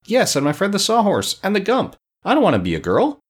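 A man voicing a plucky, nervous young boy in a storybook, plain-spoken and excitable. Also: fairly low pitch, fast talker. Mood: tearful protest. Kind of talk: storybook character voice.

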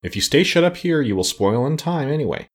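A man voicing a principled, blunt tin woodman in a children's storybook, speaking in a formal, high-minded manner. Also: big pitch swings, a little fast.

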